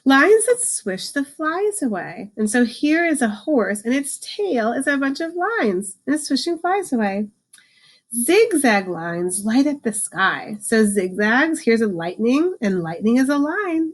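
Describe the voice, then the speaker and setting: A woman reading a picture book aloud to children, adding informal explanations and slowing down for the book's lines. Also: fairly high pitch, very animated. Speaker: read-aloud host, teacher for children.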